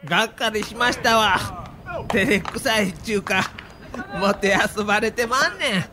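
An actor playing a wry, easygoing older baseball manager with a Kansai accent in an audio drama. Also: male voice, high-pitched, normal speed, background noise, close to an everyday voice. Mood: sheepish. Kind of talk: acted audio documentary dialogue.

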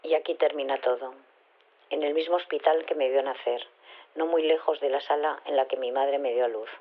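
A woman's voice: low-pitched, steady tone, a little fast. An actress playing an earnest, bookish woman scientist leaving a sincere voicemail message through a telephone filter. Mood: solemn, nostalgic. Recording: tinny phone-line voicemail.

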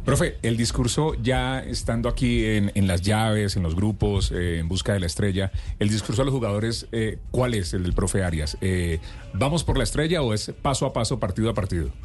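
A man's voice: on the low side, normal speed, a little mellow, faint music behind. A man asking questions as a sports radio interviewer in a conversational style.

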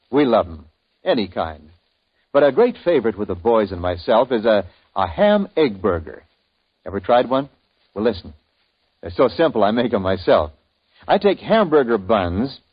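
A man hosting a 1950s radio show, with a folksy, husky announcer voice. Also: mid-range voice. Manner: folksy, lively announcer delivery. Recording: dull old radio broadcast.

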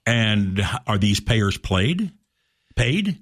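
A man's voice: fairly low pitch, big pitch swings, slow. Male radio host, folksy, rambling questions, self-corrects. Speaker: radio talk show host. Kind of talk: casual radio interview.

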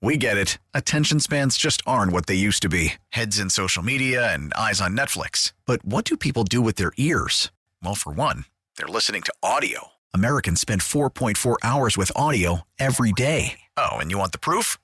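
Husky low-voiced male ad announcer. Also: expressive, normal speed, sharp tone, no echo, studio quality. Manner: conversational, knowing sales pitch. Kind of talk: scripted radio ad read.

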